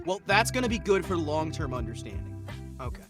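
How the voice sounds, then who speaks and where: Rambling mock-earnest guest, lively pitch. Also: a little fast. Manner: rambling, mock-earnest, lots of stammering restarts. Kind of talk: comedic in-character chat as an alien conqueror. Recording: background music under voice.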